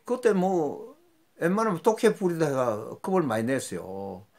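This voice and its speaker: A man's voice: big pitch swings, unhurried, darkish tone. An older man tells rambling, folksy stories from his rural youth as host of his own channel. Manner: rambling, folksy, with sudden jumps in pitch. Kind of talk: casual storytelling monologue.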